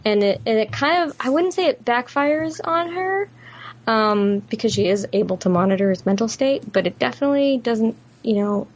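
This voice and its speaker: A woman's voice: on the high side, lively intonation, normal speed. A woman co-hosting a podcast, talking casually and conversationally about a film. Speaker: podcast co-host.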